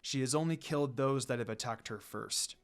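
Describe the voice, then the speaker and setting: A man's voice: medium pitch, a little fast, pitched below the performer's own voice, natural voice. A man voicing a proud, protective demon lord in a story, a formal and firm but dutiful son. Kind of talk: storybook character reading.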